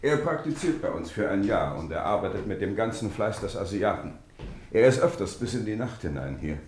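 A man playing a scientist who works nights, in a radio mystery play, with a husky voice and familiar, conversational manner. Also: fairly low pitch, big pitch swings, brisk, a little mellow, slight background noise. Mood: calm, friendly. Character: busy male scientist, a doctor working nights. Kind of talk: old German radio mystery play acting.

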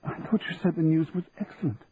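Man doing young gentlewoman, higher pitch, anxious, polite, earnest, audiobook character, phone-like narrow sound. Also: fast talker, slight background noise, lightly acted. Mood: shocked. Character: young well-bred Englishwoman, earnest, anxious.

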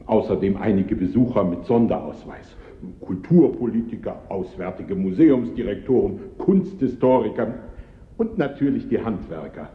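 An actor playing a formal, measured, worried museum official in a German radio play. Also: male voice, on the low side, lively intonation, natural voice. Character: formal, worried male museum director in Paris. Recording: dull, echoey hall, old radio recording.

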